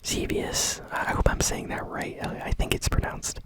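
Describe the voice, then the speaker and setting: A woman hosting gaming news in an ASMR style, talking softly, informally and without hurry. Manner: soft, unhurried, informal. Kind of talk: whispered ASMR news commentary.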